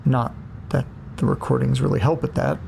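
A man's voice: mid-range voice, steady tone, normal speed, gravelly. A man playing an earnest, anxious amateur podcaster in naturalistic, hesitant audio drama dialogue. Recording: faint car noise. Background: car interior ambience.

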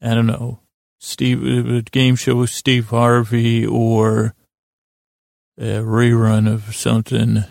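A man with plenty of vocal fry delivers a rambling bedtime monologue, recorded dry with almost no room sound. He halts, doubles back and loses his thread. His asides are apologetic and self-deprecating. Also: medium pitch, completely flat delivery, darkish tone, no echo. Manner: meandering, halting, self-interrupting, keeps losing the thread. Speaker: podcast host. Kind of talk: rambling sleep-aid monologue.

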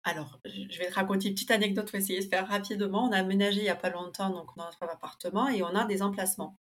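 A woman's voice: mid-range voice, rapid-fire. A woman guest in a casual interview, talking with many fillers and restarts, and livelier when she tells a story. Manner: lively when telling an anecdote.

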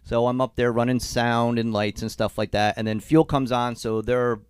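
A man co-hosting a podcast in a studio, telling a story in a lively way and laughing as he goes, a little sick and congested. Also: medium pitch, moderate pace, smooth, dry recording. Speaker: co-host. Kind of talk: casual storytelling chat. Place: recording studio. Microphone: studio mic.

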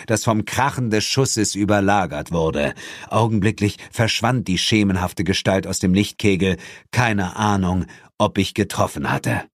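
A man's voice: fairly low pitch, no echo. A man narrating a horror-comedy audiobook in a dramatic scripted style, recorded in a studio. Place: dry studio.

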